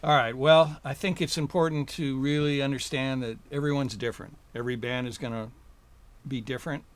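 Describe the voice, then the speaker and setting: A relaxed man, a recording engineer, chatting as a guest in a studio, loose and chuckling with many fillers. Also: medium pitch, a little fast, no echo. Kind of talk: casual interview chat.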